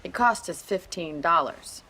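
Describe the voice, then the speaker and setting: An actress playing a brisk, sharp-tongued young ranch woman on the frontier in a video game, with an American accent and a forthright, teasing manner. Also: lowish voice, lively intonation, slight background noise. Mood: blunt, scolding. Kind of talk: video game cutscene acting.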